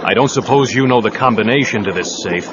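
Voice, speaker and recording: An actor playing a grim, unflappable masked vigilante in an audio drama, terse, stern and controlled. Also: male voice, lowish voice, a little fast, noisy background, lightly acted. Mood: stern calm. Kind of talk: radio-drama hero acting. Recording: dull cassette audio with music.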